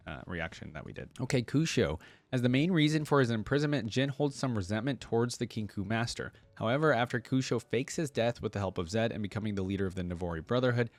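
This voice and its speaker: The man you'd hear on a fan's reaction channel, reading a wiki out loud in a dry, close room and chatting through his thoughts. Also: fairly low pitch, no room sound. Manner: casual asides and musing aloud. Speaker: reaction video host. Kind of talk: reading wiki text aloud with casual commentary.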